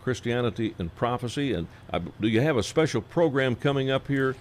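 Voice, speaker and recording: A man hosting a casual radio interview, folksy and halting, with many fillers. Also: mid-range voice, lively intonation. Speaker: radio host.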